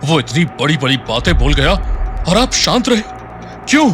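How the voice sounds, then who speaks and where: A man's voice: a little high, sing-song, normal speed, fairly bright tone, faint music behind. An actor playing a loyal, agitated henchman in an audio drama, urgent and deferential. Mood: baffled. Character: agitated, loyal henchman questioning his boss.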